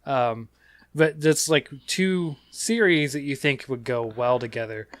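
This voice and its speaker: A man's voice: medium pitch, measured pace, no echo. Rambling man hosting a gaming podcast. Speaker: podcast host. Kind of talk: casual gaming podcast chat.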